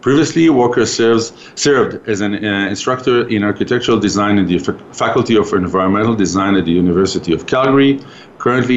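Halting low-voiced male interviewer. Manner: halting, many fillers and restarts, laughs often. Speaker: podcast interviewer. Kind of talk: casual interview. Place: office boardroom. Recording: dull lo-fi audio with faint hiss.

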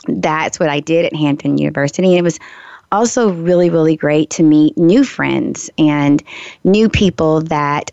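Hoarse, gravelly woman radio host. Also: deep voice, no room sound. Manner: chatty, warm asides, frequent ums and restarts. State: hoarse, recovering from a lost voice. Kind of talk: casual solo talk to listeners. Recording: slightly dull lo-fi radio recording.

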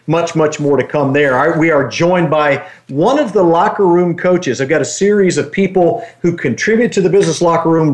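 A man hosting a talk radio show in an enthusiastic, chatty way. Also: on the high side.

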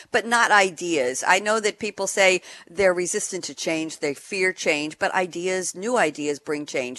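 An upbeat radio host who speaks clearly and leans on rhetorical questions. The sound is dry and close, with no room around the voice. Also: mid-range voice, a bit bright, dry recording. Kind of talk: hosting a radio panel discussion.

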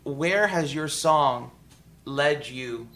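A man's voice: a little high, drawn-out words, a bit bright. A man hosting a radio interview who keeps praising and encouraging his guests. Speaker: radio show host.

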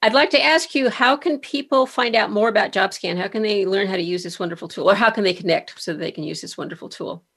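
A woman's voice: mid-range voice, fast, vocal fry, few pauses, a bit bright. A woman hosting a conversational interview in an encouraging, appreciative manner. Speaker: podcast host and career author.

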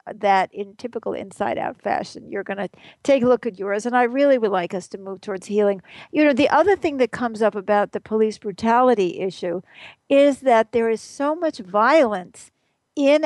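A woman's voice: fairly high pitch, moderate pace, no echo. A woman hosting a talk radio show, speaking in a casual, earnest, chatty way with fillers and small laughs.

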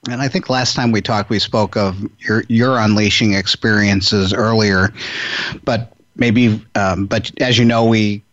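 A man hosting an interview, with a fairly low voice. He works toward his questions haltingly, restarting phrases. The recording is dull and lo-fi, with no room sound. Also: steady tone, fairly bright tone, no echo. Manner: hesitant, restarts sentences. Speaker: podcast host. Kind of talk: interview conversation.

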